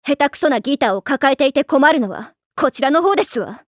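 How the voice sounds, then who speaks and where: A prim, pompous schoolgirl of the refined young-lady type in an anime. Her voice is high and swings widely in pitch. She is strict and sharp-tongued, in a dry recording. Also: expressive, brisk, dry recording. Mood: stern, scornful. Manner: prim, pompous ojou-sama diction. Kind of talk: anime voice acting.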